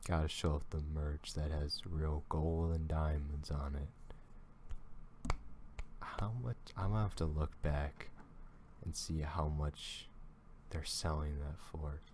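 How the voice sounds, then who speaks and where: A man with a very deep, dark voice talks through a video he is reacting to. He drawls slowly and rambles, often trailing off and restarting his thoughts. The tone stays casual and conversational. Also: fairly even intonation, slight background noise. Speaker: YouTube commentator reacting to a video.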